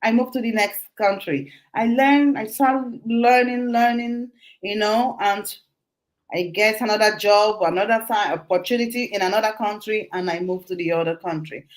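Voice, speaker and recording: A middle-aged woman from Nigeria, a guest talking casually about her life, animated and quick to laugh, often restarting her words. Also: mid-range voice. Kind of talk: casual interview talk.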